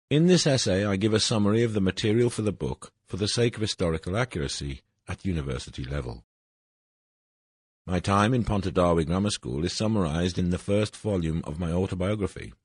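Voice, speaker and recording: Deep, husky older man reading. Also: a little mellow, no echo. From Wales. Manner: measured, formal reading with falling phrase ends. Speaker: essay narrator. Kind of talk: reading an autobiographical essay.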